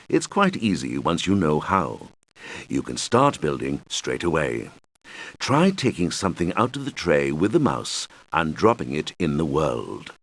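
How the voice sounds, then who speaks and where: A man's voice: low-pitched, exaggerated voice. A man voicing a helpful video game guide who patiently explains things to young players in scripted instructional lines. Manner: patient, clearly explanatory, addressing children. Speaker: game tutorial guide character.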